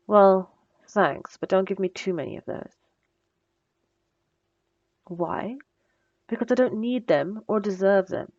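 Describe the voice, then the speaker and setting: A woman playing a bossy, sarcastic supervillain with a soft spot, in a teasing, dry, conversational ASMR roleplay. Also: medium pitch, dry recording, highs cut off. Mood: flustered, guarded. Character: female supervillain, bossy and sarcastic, secretly flustered by compliments. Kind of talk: ASMR roleplay audio drama.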